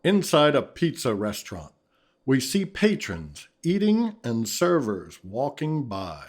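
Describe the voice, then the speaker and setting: A man narrates a radio play's stage directions in a husky voice. He takes his time, and his pitch moves up and down in a lively way. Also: takes it slowly.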